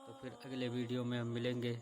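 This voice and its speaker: Male religious explainer host, medium pitch, narrow intonation, earnest direct address. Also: completely flat delivery, fast. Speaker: presenter of religious videos.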